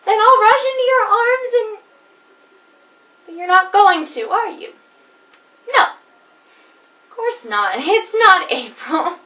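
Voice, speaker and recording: A woman acting out a jilted wife who jokes to keep from crying. Her very high, bright voice swings widely between wry jabs and fragile moments. It is heard from a distance, with room echo around it. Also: lively intonation, slow, pauses often, full character voice. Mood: wistful. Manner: wry, theatrical, teetering between laughter and tears. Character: jilted wife, laughing through tears. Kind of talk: comedic monologue performance.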